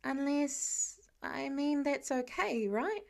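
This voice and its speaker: Woman doing a teen boy superhero from a story, high voice, sheepish, eager, kinda hesitant. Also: expressive, takes it slowly, vocal fry, darkish tone, exaggerated voice. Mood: hesitant, nervous.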